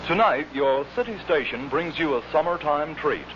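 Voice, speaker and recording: Animated male announcer, noisy old radio. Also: a little high, normal speed, dull audio.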